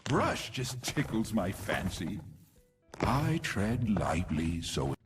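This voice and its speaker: A man voicing a gentle, cheerful tree-like nature spirit in a video game, with a playful, dreamy, singsong delivery. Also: fairly low pitch, very animated, slow, soft background music. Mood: dreamy, content. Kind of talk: video game character voice lines.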